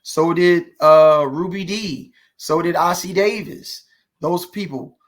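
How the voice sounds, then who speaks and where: A man with a notably high-pitched voice talks in a conversational interview. He tells stories loosely, punctuating them with rhetorical questions and small check-ins to make his point. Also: takes it slowly. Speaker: podcast guest, actor.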